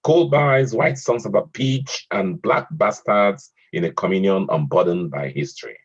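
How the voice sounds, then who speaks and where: A man moderating an online poetry event, reading a poem aloud in a measured way and hesitating with fillers between speakers. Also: sits low, breathy edge. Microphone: online video call audio. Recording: muffled lo-fi call audio.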